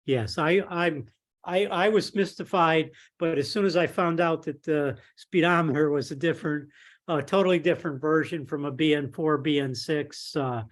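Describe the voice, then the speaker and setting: A man on a conference call discussing a technical problem casually, with halting, hesitant phrasing. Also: a little high, steady tone. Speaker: club member asking for technical help. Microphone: telephone or conference call line.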